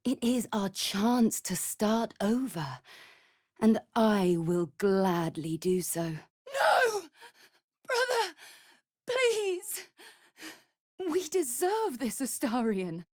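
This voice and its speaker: A woman's voice: a little high, very animated, drawn-out words, long pauses, a little mellow, no room sound, studio quality, full character voice. A woman playing an anxious, devoted vampire spawn in a fantasy video game, speaking formally and earnestly. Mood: desperate pleading. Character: anxious, devoted female vampire spawn serving her master.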